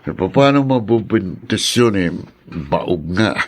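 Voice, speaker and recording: An actor playing a troubled, soft-hearted young Filipino husband in a radio drama, subdued and earnest. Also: male voice, fairly low pitch, animated, slow. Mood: troubled, protesting.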